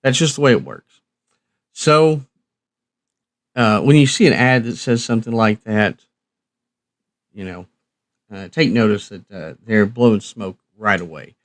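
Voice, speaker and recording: A man's voice: fairly low pitch, expressive, measured pace, long pauses, no echo. A man hosting a casual live stream, talking in a folksy, rambling and hesitant way with trailing phrases. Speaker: live stream host, radio reviewer.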